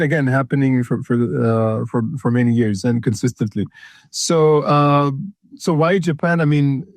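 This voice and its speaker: A man's voice: mid-range voice, darkish tone, dry recording, clean studio sound. A man from Ethiopia, a consulting firm founder, talking in an interview, hesitant and full of fillers and repeated words. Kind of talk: conversational interview.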